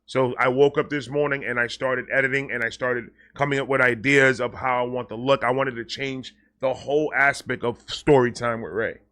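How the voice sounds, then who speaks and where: A man talking casually and off the cuff to his viewers, excitable and rambling, often repeating and stammering over words, with slangy, sweary phrasing. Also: medium pitch, normal speed, hardly any pauses. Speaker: online video creator and host. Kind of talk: casual unscripted vlog talk to viewers.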